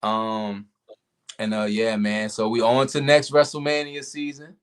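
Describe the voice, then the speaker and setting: Easygoing male podcast host, a bit hoarse. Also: on the low side, a little slow. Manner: laid-back, relaxed sign-off talk. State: losing his voice. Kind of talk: casual podcast chat.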